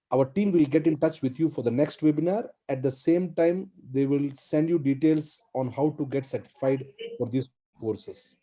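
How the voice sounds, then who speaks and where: A man's voice: medium pitch, dark tone. A man hosting an online training webinar, encouraging the attendees and repeating words of praise, with an African English accent. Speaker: webinar host. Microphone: video call.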